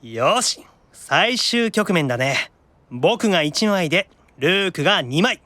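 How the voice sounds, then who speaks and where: A man acting as a sulky, teasing young male demon who grumbles and retorts quickly, in an anime-style audio drama. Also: high voice, animated, sharp tone, a little hiss or hum, exaggerated voice. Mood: playfully teasing.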